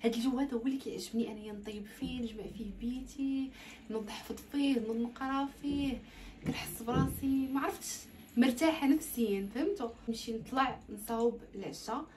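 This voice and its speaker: Fast-talking young Moroccan woman. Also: on the high side, darkish tone, low-quality recording. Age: 20s. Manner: informal, chatty, mixes in French loanwords. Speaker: lifestyle vlogger. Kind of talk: casual chatty vlog talk to camera. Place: indoors in a bedroom at home. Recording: home recording with clear background noise.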